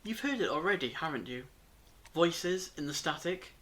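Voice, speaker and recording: A performer voicing a mysterious, self-assured eccentric traveller in an audio drama, speaking in a measured, cryptic, theatrical way. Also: medium pitch, lively intonation, fairly bright tone. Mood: intrigued, cryptic. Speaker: voice actor in fan audio drama.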